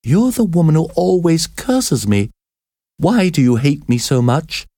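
Guy putting on a scared young girl, high and cracking, dry close sound. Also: big pitch swings, moderate pace, dark tone, dry recording. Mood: fearful. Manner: high pleading cries.

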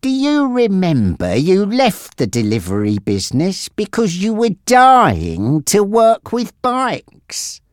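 A narrator voicing a menacing, taunting male gangster boss in an audiobook story, with a threatening, drawn-out delivery. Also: medium pitch, wildly swooping pitch, dry recording, studio quality, pitched above the performer's own voice. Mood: taunting menace.

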